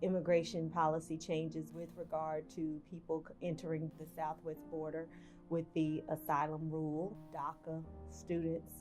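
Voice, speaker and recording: A woman explaining immigration policy in a measured, explanatory way in an interview. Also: low-pitched, completely flat delivery. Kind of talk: documentary interview. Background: guitar music.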